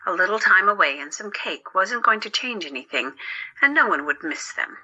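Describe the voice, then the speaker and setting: A woman's voice: medium pitch, lively intonation, normal speed, bright, forceful tone, husky, highs cut off. A woman narrating a scripted story as the narrator of an audio drama.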